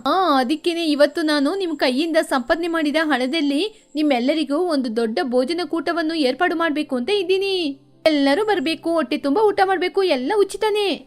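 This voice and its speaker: A woman voicing a friendly, wise female duck trader making warm speeches to villagers in an animated moral tale. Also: sits high, normal speed, faint music behind, lightly acted. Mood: cheerful. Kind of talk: animated moral story dialogue for children.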